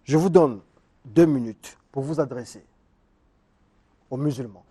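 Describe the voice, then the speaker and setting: A man's voice: mid-range voice, unhurried, dark tone, slightly rough. A man hosting a studio interview, reading out viewer questions and directing his guest. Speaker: interviewer host.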